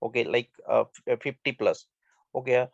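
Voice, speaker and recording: A man's voice: medium pitch, fairly even intonation, moderate pace, creaky, no room sound. Hesitant man on a dull call line. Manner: hesitant, many fillers. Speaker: participant. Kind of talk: group discussion on a video call. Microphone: video call.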